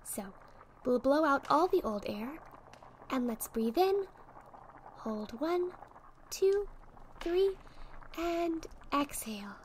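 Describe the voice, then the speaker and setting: Woman acting a high, gentle young witch. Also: expressive, takes it slowly, pauses often, slight background noise, low-quality recording. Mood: calm, encouraging. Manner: soft, patient, encouraging. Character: gentle encouraging young witch friend helping with potions. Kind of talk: roleplay ASMR. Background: fizzing, bubbling sound effects.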